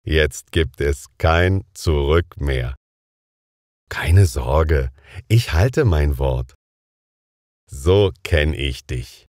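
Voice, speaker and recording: A man's voice: a bass voice, lively intonation, a little mellow, dry recording, studio quality. A man reading a scripted everyday dialogue for language learners, each word carefully enunciated. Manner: slow, carefully enunciated, deliberate. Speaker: dialogue speaker in a language lesson.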